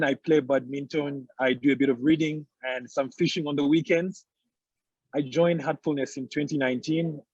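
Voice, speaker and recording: A man's voice: fairly high pitch, dry recording, highs cut off. Ugandan man, dry close audio. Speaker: electrical engineer.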